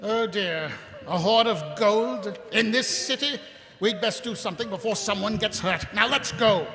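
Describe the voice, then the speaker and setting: A man's voice: high-pitched, a little slow. A man playing a weary, cynical city watch captain, grumbling and giving orders in a live audio theatre performance. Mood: exasperated. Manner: exasperated, dry grumbling and barked orders. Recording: live stage in reverberant hall.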